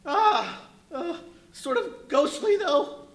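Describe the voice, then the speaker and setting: A nervous, folksy tramp, very high-voiced and bright, with lively swoops. He is flustered and eager to please in an echoey live radio play. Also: slow pace, full character voice. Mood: amazed. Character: jittery, superstitious rustic tramp, eager to please. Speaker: stage radio play actor. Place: live performance at a brewery venue. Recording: echoey live stage recording.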